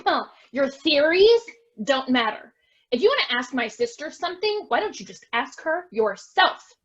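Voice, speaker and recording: A woman's voice: high voice, big pitch swings, normal speed, fairly bright tone, lo-fi sound. A woman playing a defensive, melodramatic estate heiress in an amateur online stage farce, theatrical and exclaiming. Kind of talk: amateur stage farce acting over video.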